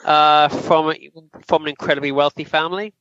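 A man with a fairly high, forceful voice talks casually as a podcast co-host. He lays out details in an informative way. The sound is close and dry but a little dull. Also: steady tone, moderate pace, no echo. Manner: informative, explaining trivia in detail. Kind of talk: casual film review chat.

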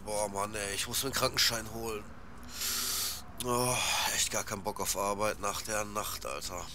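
A man's voice: fairly low pitch, fairly even intonation, normal speed, sharp tone, a little hiss or hum. A man playing a lazy, slangy young slacker. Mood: weary, grumbling. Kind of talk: casual slangy audio drama dialogue.

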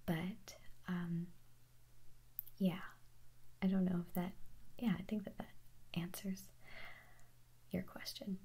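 A young woman whispering softly in a chatty, rambling way as she answers viewer questions for an ASMR video. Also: fairly low pitch, flat delivery, slow pace, long pauses, breathy edge. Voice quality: soft unvoiced whisper. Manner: chatty, rambling, self-correcting, giggly.

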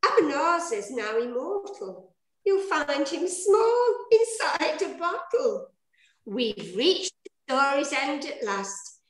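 A woman narrating and directing a scripted amateur pantomime over a video call, with declamatory, deliberate delivery. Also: high voice, sing-song, echoey room, low-quality recording. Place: indoor room at home.

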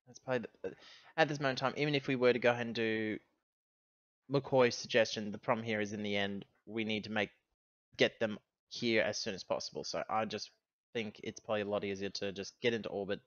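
A man deliberates aloud as a player in a tabletop roleplaying game. His talk is casual and improvised, circling back over his options. The sound is close, dry and slightly muffled, like voice chat. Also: on the low side, steady tone, fairly bright tone, no room sound.

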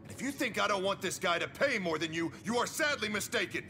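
An actor playing a driven, terse and commanding police lieutenant in a video game. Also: male voice, high-pitched, animated, fast talker, big-room sound, music in the background. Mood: defensive, angry. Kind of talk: dramatic game voice acting.

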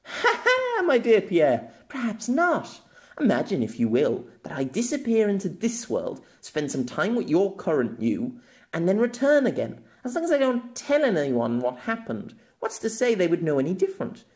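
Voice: mid-range voice, huge pitch swings, normal speed. A storyteller voicing a proud, playful young noblewoman in an audio novel, with arch, formal diction. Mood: playful, indignant.

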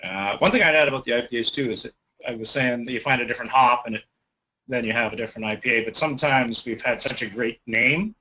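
A man's voice: medium pitch, quick pace, pushed and bright, low-quality recording. A man from a brewery, chatting easygoing and rambling as a guest, recorded in an office. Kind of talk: casual interview chat.

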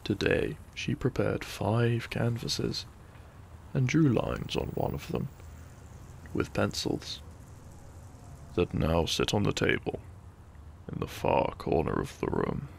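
A man reads a soothing bedtime story with heavy vocal fry and a very unhurried pace. His delivery is soft and breathy, often near a whisper, in a gentle ASMR style. Some faint background noise sits under the voice. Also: lowish voice, very animated. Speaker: sleep-aid audio narrator.

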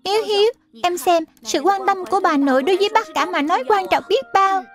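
A woman's voice: very high-pitched, fast talker, faint music behind. A woman playing a sweet, sensible young schoolgirl in a dubbed children's cartoon. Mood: earnest, instructive.

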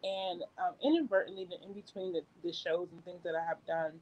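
A woman's voice: lowish voice, fairly even intonation, brisk, darkish tone, lo-fi sound. Woman guest on a video call, storytelling, easy laughter, loose restarts. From upstate New York. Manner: laughs often, rambling with restarts. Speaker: guest, executive producer. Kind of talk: casual interview storytelling.

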